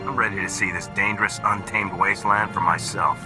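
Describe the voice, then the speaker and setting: Guy playing military squad leader, lowish voice, straight to the point, game dialogue over music. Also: fairly even intonation, fairly quick, natural voice. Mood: firm, determined. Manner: businesslike, direct. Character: determined, no-nonsense male soldier, a squad-leading lieutenant. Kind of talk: video game character acting.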